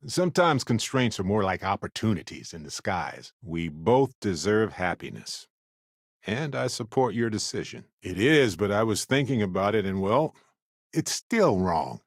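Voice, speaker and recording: An actor playing an earnest, wry, talkative space explorer companion in a video game, speaking in a conversational, reflective way. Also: male voice, lowish voice, big pitch swings, unhurried, no echo, studio quality, full character voice.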